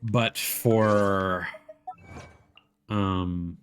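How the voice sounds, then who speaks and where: A man's voice: sits low, fairly even intonation, very slow, faint music behind. A man chatting casually as he streams a video game. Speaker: livestreamer playing a video game. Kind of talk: casual livestream chat over gameplay.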